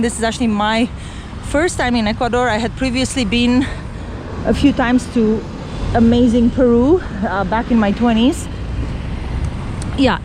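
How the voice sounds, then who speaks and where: Chatty middle-aged woman from Romania walking outdoors. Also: a little high. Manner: chatty, rambling, with many fillers and restarts. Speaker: travel vlogger. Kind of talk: casual walk-and-talk vlog. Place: city street outdoors. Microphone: handheld camera while walking. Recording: outdoor street noise and traffic.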